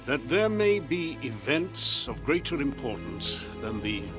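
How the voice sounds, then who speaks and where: A haughty, cold man of rank in a fantasy film. He speaks formally in a mid-pitched voice over faint music. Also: very animated, unhurried. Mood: condescending. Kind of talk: formal film drama acting.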